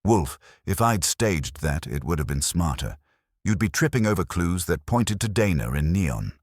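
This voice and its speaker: A man's voice: low-pitched, big pitch swings, moderate pace, no echo, clean studio sound, voice pushed up. A man voicing a slick, sardonic male suspect with a wry, needling manner in a detective audiobook. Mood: wry defiance.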